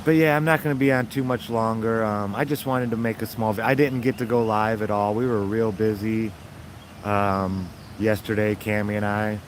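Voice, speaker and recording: A man with a raspy voice, casually chatting to his viewers in a rambling, upbeat way. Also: on the low side, steady tone, normal speed, slight background noise. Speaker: vlogger.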